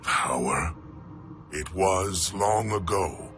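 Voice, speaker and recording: Really deep slow alien warrior, grave and brooding, game cutscene. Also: male voice, lively intonation, music in the background, full character voice. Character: weary male alien warrior elder, grave.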